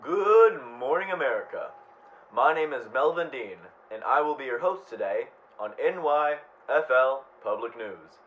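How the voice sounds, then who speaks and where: A man's voice: mid-range voice, expressive, a little slow, lightly acted. A man playing an earnest 1940s American radio news anchor in a student radio drama. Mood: formal, announcing. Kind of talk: 1940s radio newscast acting. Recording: dull lo-fi audio with noise.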